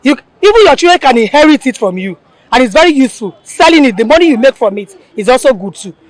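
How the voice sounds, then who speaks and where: A woman's voice: high voice, very animated, quick pace, no echo, low-quality recording. A woman from a women's forum, answering an interview firmly and with enthusiasm.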